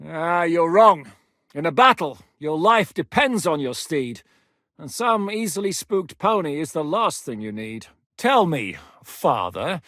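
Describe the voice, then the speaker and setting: A battle-hardened knight commander from a game, blunt and commanding, swearing freely. His voice sits fairly high and rises and falls in wide, lively swings. The recording is close and completely dry. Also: no room sound, full character voice. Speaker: game character voice lines. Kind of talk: game voice acting.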